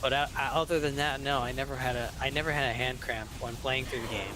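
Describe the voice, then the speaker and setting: A man in his twenties co-hosting a game session, telling stories in a halting, meandering way. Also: medium pitch, steady tone, noisy background. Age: 20s. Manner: halting, meandering, restarts often. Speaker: co-host. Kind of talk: casual chat.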